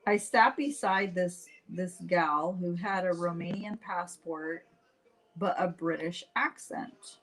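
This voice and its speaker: A middle-aged woman with a slightly low voice hosts a casual livestream. She takes her time, talking straight to her viewers in a chatty, informal storytelling style, with animated retellings of conversations. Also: takes it slowly, soft background music, low-quality recording. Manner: chatty, animated retelling with acted-out dialogue and frequent "like"; addresses viewers directly. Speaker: host painting live while telling stories.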